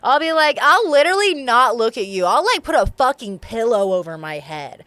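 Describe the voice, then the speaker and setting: A woman's voice: on the high side, very animated, normal speed, fairly bright tone, no echo. Texan young woman, dry close sound. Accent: Texas. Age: young adult. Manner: candid, slangy and swearing, laughs often. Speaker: guest. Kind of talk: casual chat.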